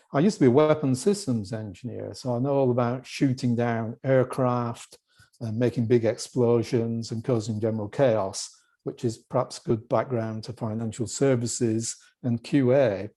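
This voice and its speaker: A man from the UK with a British accent, a company executive answering interview questions in a relaxed, chatty way over a video call, with joking asides. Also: mid-range voice. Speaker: guest executive.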